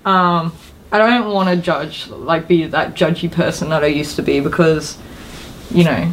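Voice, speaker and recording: A woman chatting informally as a podcast co-host, excitable and emphatic in the way she talks. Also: deep voice, unhurried, slight background noise, low-quality recording. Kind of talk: casual chat about games and films.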